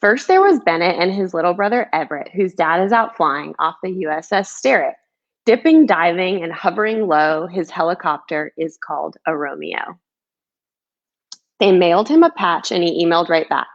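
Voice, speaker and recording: A woman children's author with a fairly low voice. There is noticeable creak in it, and the recording sounds a little dull and lo-fi. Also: animated, normal speed. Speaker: children's book author reading own book. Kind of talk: storybook read-aloud, rhyming, then casual chat.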